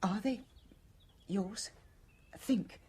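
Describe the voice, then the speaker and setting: An actress playing a guarded, anxious wife with secrets in a radio drama, tense and halting. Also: female voice, medium pitch, darkish tone, full character voice. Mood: urgent, fearful. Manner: tense, halting, breathy urgency.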